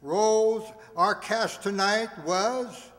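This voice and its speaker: A man's voice: high-pitched, steady tone, slow, a bit bright. An older man hosting, giving a closing announcement to an audience from a stage. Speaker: host closing the show. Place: community hall stage. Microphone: stand microphone. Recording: noisy, echoey hall recording.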